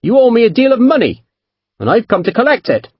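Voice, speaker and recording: A man doing a breezy Devonshire sea captain, pitched high. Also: huge pitch swings, quick pace, breathy edge, no room sound, dull audio, exaggerated voice. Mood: courteous. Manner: courteous and breezy. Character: friendly, courteous English naval captain, man.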